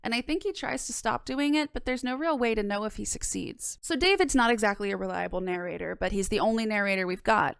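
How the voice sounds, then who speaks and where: Casting: young woman, video essayist, smooth but creaky voice, scripted delivery. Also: medium pitch, dry recording, clean studio sound. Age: young adult. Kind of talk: scripted analysis narration. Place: small indoor room. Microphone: close microphone on boom arm.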